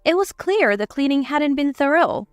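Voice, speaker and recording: Narrator: woman, bright lively pitch, earnest first-person storybook read, dry close sound. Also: normal speed, no room sound, faint music behind.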